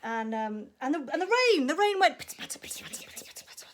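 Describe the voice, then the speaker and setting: A woman telling a story to young children in an animated, expressive way, prompting with questions and acting out sound effects. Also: high-pitched, fast, a little hiss or hum.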